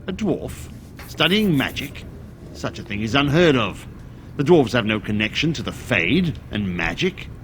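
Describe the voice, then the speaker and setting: An actor playing a stern, solemn senior mage in a fantasy game, speaking formally and with measured care. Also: male voice, medium pitch, very animated, fairly bright tone, a little hiss or hum. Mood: dismissive. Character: solemn, dismissive senior male mage of a circle.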